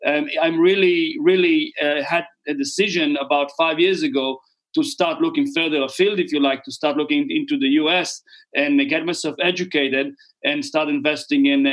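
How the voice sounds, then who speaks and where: A man's voice: a little high, a bit bright, rough recording. A middle-aged man with an Israeli accent, explaining things conversationally as an interview guest in a rambling way with repeated words. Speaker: podcast guest, real estate investor.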